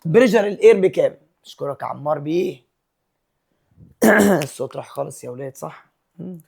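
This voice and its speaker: A man's voice: fairly high pitch, animated, vocal fry, darkish tone, slightly rough. A male physics teacher with an Egyptian Arabic accent, working through exam problems step by step and mixing English terms and numbers into his Arabic. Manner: mixes English terms and numbers into Arabic, reads questions slowly.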